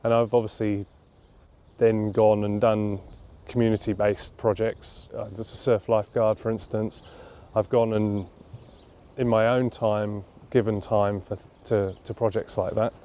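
A man, speaking informally and thoughtfully, with halting phrases, in an interview. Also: fairly low pitch, flat delivery, warm tone. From London, England. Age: 30s. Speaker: interviewee, charity cyclist. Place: outdoors at a leafy campground.

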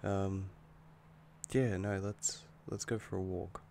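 Werewolf boyfriend played by a man, ASMR roleplay: young, protective, quick-tempered but loving; low voice, unhurried, hesitant. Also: flat delivery, vocal fry, mellow, slight background noise, exaggerated voice. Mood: wry, reassuring. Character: affectionate young male werewolf boyfriend, easily angered, protective. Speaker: roleplay audio performer.

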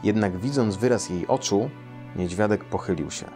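A man in his thirties or forties with a fairly low voice. He speaks as a children's storyteller, seated indoors. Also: normal speed, dark tone, soft background music. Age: 30s to 40s. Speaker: storyteller and translator reading his translation. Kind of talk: children's story read aloud. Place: small quiet room indoors, seated in an armchair.

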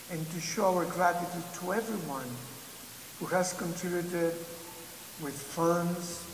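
Older male church leader, slow and measured, recorded from a screen in a reverberant church. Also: a little high. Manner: slow, measured, with pauses and fillers. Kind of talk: recorded video message. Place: video played on a screen in a large church. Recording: echoey church hall with hiss.

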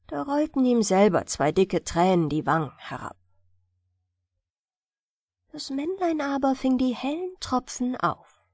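A woman narrates a bedtime fairy tale. Her voice is slightly high and very melodic, and she takes her time over each phrase. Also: no echo, studio quality. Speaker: audiobook narrator.

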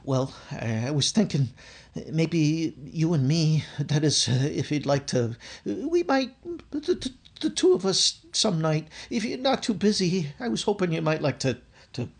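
A man's voice: on the high side, big pitch swings, a little slow, creaky, breathy edge, full character voice. A man playing a nervous, bumbling young working-class clerk in a recreated 1950s radio sitcom, stammering and hesitant. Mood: shy, flustered. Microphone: video call microphone.

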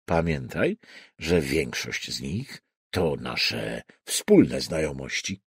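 A man's voice: a bass voice, sing-song, takes it slowly, slightly rough, no echo, clean studio sound, voice pushed down, heavily acted. A man voicing a wry, resigned male criminal profiler in an audiobook. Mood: wry retort.

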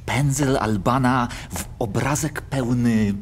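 An actor playing a sly, insinuating gentleman in a verse radio play, with a mannered delivery. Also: medium pitch, slow, a little hiss or hum, close to an everyday voice. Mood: sly, mocking. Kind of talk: theatrical radio drama in verse.

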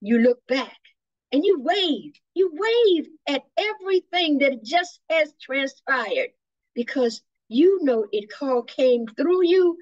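A woman leading a guided imagery meditation in a slow, soothing, devotional manner over a video call. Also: sits high, lively intonation, no room sound. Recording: muffled, dry video call audio.

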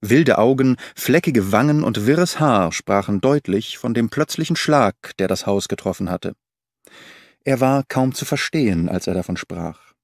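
A man narrating an audiobook in an even, measured reading. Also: medium pitch, no room sound, studio quality. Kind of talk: scripted narration.

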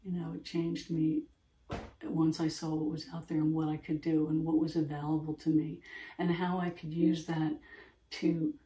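A woman in her fifties with a gravelly voice, earnestly rambling to camera in her home art studio. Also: sits low, little pitch movement, moderate pace, dark tone, far from the mic. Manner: earnest, rambling, repeats herself. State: throat irritation, clearing throat. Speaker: artist, channel host. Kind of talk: casual talk to camera. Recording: echoey room, voice from off-mic.

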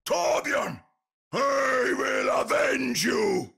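An actor playing a boisterous, proud old armoured knight in a video game, with a German accent and a booming, bombastic delivery. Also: male voice, lively intonation, very slow, bright, forceful tone, shouting, exaggerated voice. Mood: defiant. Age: older. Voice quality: gravelly, husky, booming. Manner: bombastic, hearty.

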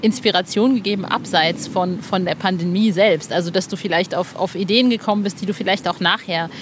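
A woman's voice: lowish voice, steady tone, brisk. A woman hosting a podcast, chatting and interviewing in an informal, enthusiastic way. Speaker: podcast host. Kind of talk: excited podcast interview and chat. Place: outdoors in a cemetery. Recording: clear outdoor background noise.

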